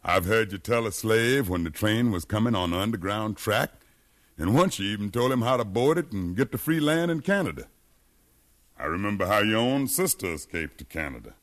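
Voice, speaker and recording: A determined freed man in a 1950s radio drama. His low voice swings widely with conviction, and he persuades like a preacher. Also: big pitch swings, a little fast. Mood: urgent, fervent. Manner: fervent, persuasive, preaching cadence. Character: determined freed slave man, educated, fiery rebel leader.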